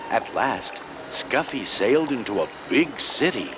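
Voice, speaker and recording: A man narrating a scripted children's story, with expressive delivery and deliberate phrasing. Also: medium pitch, rough recording. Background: loud music.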